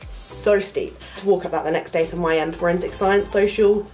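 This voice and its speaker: A woman's voice: on the low side, steady tone, normal speed, darkish tone. Young woman, nasal congested voice, chatty rambling vlog talk, faint music behind. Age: young adult. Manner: chatty, rambling, frequent "like" fillers. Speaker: vlogger, university student. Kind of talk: casual storytelling to camera.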